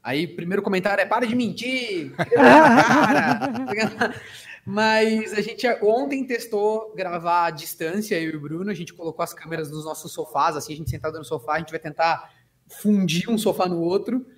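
A man from the São countryside, chatting as a podcast guest in a relaxed way, often restarting his words. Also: high-pitched, moderate pace, slight background noise. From Socorro, countryside of São Paulo state, Brazil. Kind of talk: casual podcast chat.

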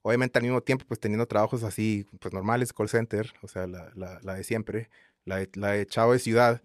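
A man in his thirties from Guatemala with a Guatemalan Spanish accent, chatting as an interview guest in a relaxed, rambling way full of fillers. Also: fairly low pitch, fairly quick, dry recording, studio quality. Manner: relaxed rambling with many fillers and repeated tags. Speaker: guest singer. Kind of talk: casual interview chat.

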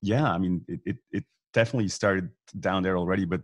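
Man, Swiss German accent, deep, dry, casual, halting. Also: no echo. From Switzerland. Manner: relaxed, many restarts and fillers. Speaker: guest, event announcer. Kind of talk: casual video call chat.

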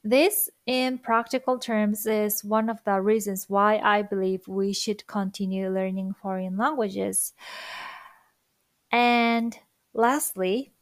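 Japanese accented woman talking to her viewers, slow and careful, dry close sound. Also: mid-range voice, no echo. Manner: slow, careful, thoughtful delivery with soft fillers. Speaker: language-learning vlogger. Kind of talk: reflective monologue to viewers.

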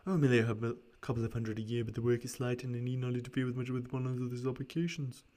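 A man's voice: medium pitch, little pitch movement, fast, mellow, close to an everyday voice. A man voicing an eager, persuasive Victorian shop assistant from a storybook, explaining in an emphatic, coaxing way.

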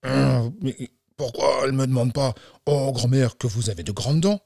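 A man's voice: lively intonation, husky, no room sound, studio quality. A man voicing a big, sly, boastful wolf in a children's fairy tale, with a smug, scheming drawl. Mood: frustrated, impatient. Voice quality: deep voice in the story, slow deliberate delivery.

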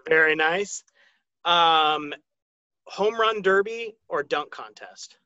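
A man's voice: fairly high pitch, slow pace, sharp tone, no echo, dull audio. An American man speaking as the host of a sports podcast, interviewing a guest.

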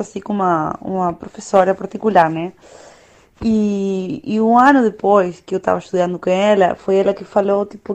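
A woman in her thirties whose first language is Spanish speaks in a middle-pitched voice. She talks through a casual conversation in an unhurried, thoughtful way, often stopping to search for the right word. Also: darkish tone. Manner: reflective, unhurried, often pausing to find words. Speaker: opera singer, guest.

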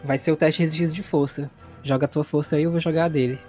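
A man's voice: on the high side, steady tone, very fast, a little mellow, faint music behind. A man with a Brazilian accent narrating a tabletop role-playing game as game master, colloquial and explanatory.

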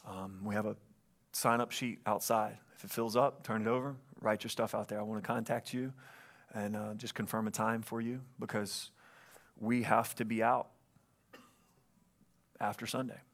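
A man's voice: fairly low pitch, little pitch movement, normal speed, pauses often. A man pastoring a church, preaching earnestly from the stage, often calling out for an amen and repeating phrases as he goes. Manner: earnest, calls for amens, repeats himself. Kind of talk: sermon. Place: church sanctuary. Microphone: stage microphone.

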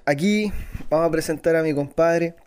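A man's voice: on the high side, moderate pace, darkish tone. Playful young Chilean man, casual chat. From Renaico, southern Chile. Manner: slangy, playful, teasing. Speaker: co-host. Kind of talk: casual banter between friends.

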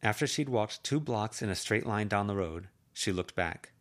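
A man narrating a fan-made audiobook chapter in a calm, even storytelling manner. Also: on the low side, moderate pace.

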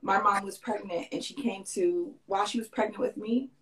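A woman's voice: mid-range voice, fairly quick, a crowd in the background, rough recording. A woman fashion designer who lived in Grenada as a child, talking earnestly in a casual interview and often restarting her sentences.

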